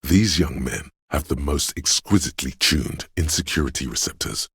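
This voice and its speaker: Game inner-voice narrator: rumbling bass, heavy rasp, tender yet grave, measured and theatrical delivery. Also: male voice, animated, fairly bright tone, no room sound, studio quality, full character voice. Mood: wry, knowing. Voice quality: gravelly, husky. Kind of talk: dramatic scripted game narration.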